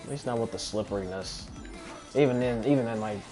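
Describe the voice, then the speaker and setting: A man's voice: mid-range voice, brisk. Casting: male gaming host, casual rambling commentary with frequent swearing, prominent game music underneath. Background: loud video game music.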